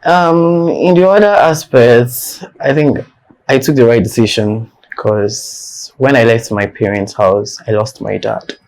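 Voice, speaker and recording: A man's voice: medium pitch, measured pace, a little mellow. A young man with a Nigerian accent, a guest in an interview, talking bluntly and defiantly and repeating his points for emphasis. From northern Nigeria. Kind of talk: candid personal interview.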